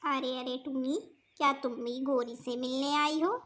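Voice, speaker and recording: A woman voicing a sympathetic, fussing auntie bird in a cartoon, earnest and expressive. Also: high-pitched, unhurried. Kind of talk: Hindi cartoon voice acting.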